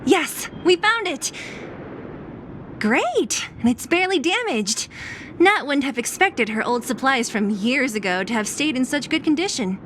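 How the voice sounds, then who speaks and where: Lively, high-voiced scrappy young woman. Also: a little slow, slight background noise. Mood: excited. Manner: playful, breezy, expressive. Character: cheerful, scrappy young woman raised in an orphanage. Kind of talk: English-dubbed game cutscene acting.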